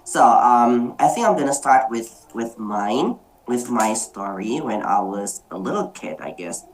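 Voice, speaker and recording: Woman moderator, extremely low voice, slow unhurried speech, hesitant fillers, video call. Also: animated, poor audio. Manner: hesitant, many fillers and restarts. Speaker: meeting moderator. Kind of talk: online group discussion, personal storytelling.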